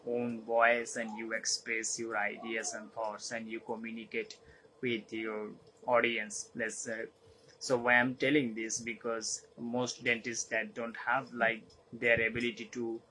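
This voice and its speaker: A man who is not a native English speaker, giving an unscripted talk as host, halting and repeating phrases as he searches for words. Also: medium pitch, sharp tone, a little hiss or hum, low-quality recording. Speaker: host of a live session.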